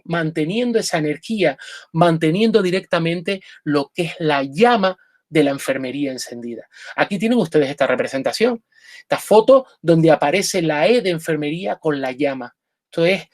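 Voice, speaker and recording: An earnest lecturer talks through a nursing history presentation at a pub event. The voice sits at a middle pitch. Sentences often restart, and the same filler word keeps coming back. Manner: earnest, repeats "directamente" often, frequent restarts. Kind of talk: live public lecture with slides.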